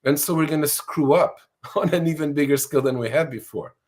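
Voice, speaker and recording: A man's voice: fairly high pitch, a little fast, darkish tone. A man in his sixties from Portugal, an author answering interview questions in an earnest, explanatory way. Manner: earnest, explanatory, fluent with some restarts. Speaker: author and guest.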